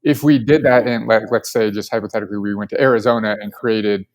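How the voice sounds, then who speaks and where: Low-voiced, enthusiastic man. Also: steady tone, fast talker. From Colorado. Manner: enthusiastic, filler-heavy with lots of "like". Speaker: distillery co-founder, guest. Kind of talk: casual podcast interview.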